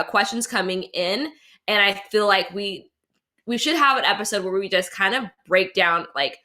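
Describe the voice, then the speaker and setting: A woman's voice: medium pitch, bright, forceful tone. A young woman hosting a casual, chatty online show in a playful, teasing, informal way. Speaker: talk show host. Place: remote livestream.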